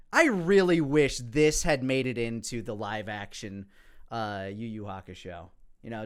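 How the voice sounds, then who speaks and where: A man's voice: medium pitch, clear, resonant tone. A man giving casual commentary on his own work, rambling, candid and sweary. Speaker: creator commenting on own parody series.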